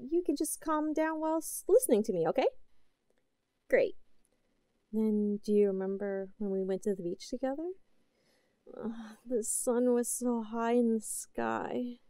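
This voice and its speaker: Casting: actress as sweetly unhinged yandere girlfriend for audio roleplay; mellow, unhurried, cooing, possessive. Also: fairly high pitch, no echo. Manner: cooing, halting, breathy asides. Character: obsessive, possessive yandere young woman, sweetly unhinged lover. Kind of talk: roleplay audio drama monologue.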